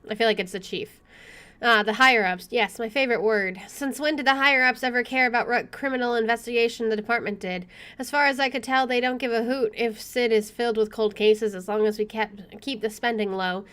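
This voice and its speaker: A woman's voice: a little high, steady tone, fairly quick, fairly bright tone, smooth. A woman hosting a gaming video, expressively reading story text aloud and adding casual asides.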